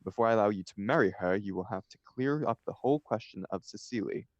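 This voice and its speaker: A man's voice: on the low side, fast talker, no echo, highs cut off, lightly acted. A man reading the part of a flippant, idle upper-class bachelor in a stage comedy, with an arch, mock-serious declamatory manner. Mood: teasing, indignant.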